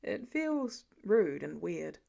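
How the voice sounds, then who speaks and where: Storybook dad type, acted by a woman: gentle but gruff, guarded, informal clipped lines, slightly lowered voice. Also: sing-song, slow pace, exaggerated voice. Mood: soft, casual. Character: gentle, guarded adult man, fatherly and casually gruff.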